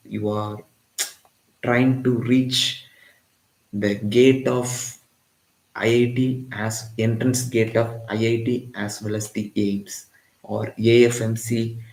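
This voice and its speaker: A lowish-voiced man with an Indian English accent, a physics tutor from Tamil Nadu, talks through a live-streamed exam-prep lesson. He repeats key phrases and checks in with his students constantly. The sound bounces around an echoey room. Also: flat delivery, slow pace, a little mellow. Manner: repetitive, keeps checking in with "got it?".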